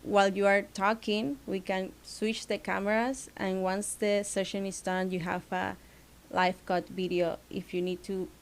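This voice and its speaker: A woman from Venezuela with a Venezuelan Spanish accent, a studio founder speaking as a guest in a casual interview, relaxed and loose, with lots of "like" fillers. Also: mid-range voice, measured pace. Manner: relaxed, many "like" fillers, plain non-native phrasing. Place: small podcast studio.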